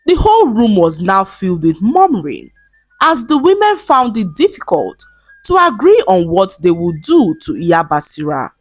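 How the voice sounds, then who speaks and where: Expressive woman telling a folktale. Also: mid-range voice, moderate pace, husky, dry recording, faint music behind. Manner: expressive, dramatic storytelling delivery. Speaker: storyteller. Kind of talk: scripted folktale narration.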